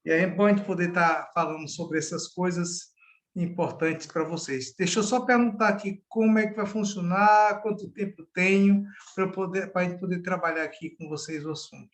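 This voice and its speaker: A man's voice: high-pitched, steady tone, normal speed. A middle-aged man from Salvador, a pastor giving an informal Bible study over a video call, chatty and often ending with a tag question. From Salvador, Bahia, Brazil.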